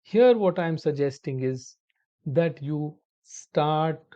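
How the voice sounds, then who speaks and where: Male teacher, slow deliberate delivery, slightly raised pitch, dull lo-fi audio. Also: animated, warm tone. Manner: slow, deliberate, addresses viewers as "my dear friends". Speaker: English teacher. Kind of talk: online spoken-English lesson.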